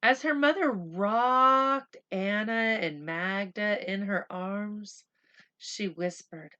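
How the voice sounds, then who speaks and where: A woman with a medium-pitched voice reads a children's picture book aloud in the manner of a school teacher. Her story reading is deliberate and expressive. Her asides are casual and chatty, explaining things in simple words for young listeners. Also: clean tone, lo-fi sound.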